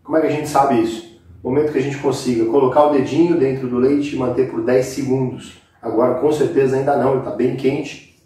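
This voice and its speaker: The sound is strongly reverberant, picked up a little away from the microphone in an indoor kitchen. A Brazilian man with a mellow, mid-pitched voice hosts a cooking lesson. He talks informally and directly to viewers, walking them through each step. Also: fairly even intonation, brisk. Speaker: cooking show host. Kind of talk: casual step-by-step recipe demonstration. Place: indoor kitchen set with brick wall. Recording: very echoey room, distant microphone.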